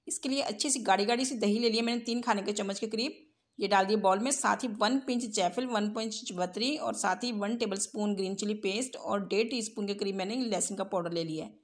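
High-voiced woman narrating recipes. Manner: step-by-step, instructional. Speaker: home cook hosting a cooking channel. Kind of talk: recipe tutorial voice-over.

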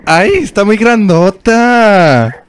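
Animated, high-voiced young male prankster. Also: extremely slow, slight background noise. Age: young adult. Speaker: comedy show co-host, prank caller. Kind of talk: casual banter and prank calling. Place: small room studio, indoors. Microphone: handheld microphone.

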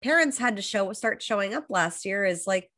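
A woman's voice: mid-range voice, lively intonation, moderate pace, fairly bright tone. A woman in her thirties with an American accent, a coach talking enthusiastically about her work in a video-call interview while getting over a cold. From Los Angeles, USA. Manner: enthusiastic, motivational, flowing. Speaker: guest, high performance coach. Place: bright room at home.

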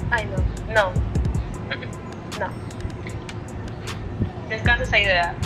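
A woman's voice: medium pitch, fairly even intonation, slow, loud music behind the voice. A woman with a Panamanian Spanish accent in a casual phone conversation, speaking bluntly and asking pointed questions. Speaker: friend called on the phone.

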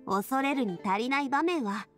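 A woman voicing a lively, chatty, caring young lady in an anime game, expressive and teasing. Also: sits high, normal speed, faint music behind.

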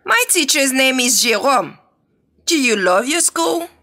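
A woman with a high, bright voice reads textbook dialogues for learners. Her delivery is clear and deliberate, and her pitch moves a lot. She sounds like a teacher voicing practice conversations. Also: animated. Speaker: textbook audio reader. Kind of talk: scripted dialogue reading for learners.

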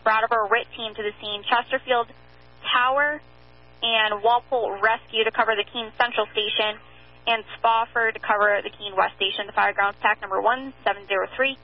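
A woman with a fairly high voice reads out emergency dispatches in a formal, procedural way. She repeats her messages methodically. The sound is a muffled two-way radio transmission.

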